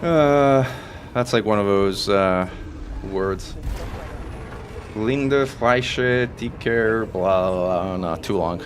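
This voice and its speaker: Brief: low-pitched man from Italy, Italian first language, eager running game commentary, clear background noise. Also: extremely slow. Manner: excitable, talks through each move while playing. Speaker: streamer and commentator. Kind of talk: live gameplay commentary.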